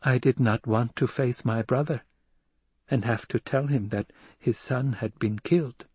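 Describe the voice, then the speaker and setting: Man voicing an eerily composed little girl, unhurried. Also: mid-range voice, breathy edge, dry recording, pitched above the performer's own voice. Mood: matter-of-fact. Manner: calm, precise, taunting. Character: eerily self-possessed, defiant little girl, coolly malicious. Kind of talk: dramatic audiobook character voice.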